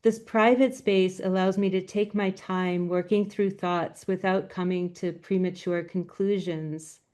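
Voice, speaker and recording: A woman giving a talk as a guest speaker over a video call, speaking with a quiet, soft-spoken voice. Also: lowish voice, steady tone, unhurried. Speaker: guest speaker, graphic novelist.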